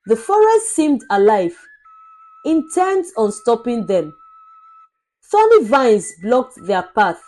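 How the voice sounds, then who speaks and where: A woman narrating a scripted folktale in a dramatic, deliberate storytelling manner. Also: a little high, sing-song, a little mellow. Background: background music.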